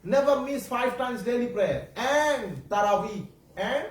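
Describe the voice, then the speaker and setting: High-voiced man preaching in an echoey room. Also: expressive. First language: Bengali. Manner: halting, repetitive, searching for words; asks the audience questions. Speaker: Islamic preacher. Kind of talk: sermon to children. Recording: echoey room, distant mic, faint background noise.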